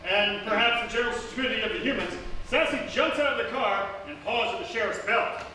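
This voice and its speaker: A man's voice: high-pitched, fast, natural voice. A man playing a pompous, dryly comic radio serial narrator on a live stage. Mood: dramatic. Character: dramatic serial announcer with dry comic pomp. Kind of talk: scripted radio serial narration before an audience. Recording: echoey live theatre, distant mic, noisy.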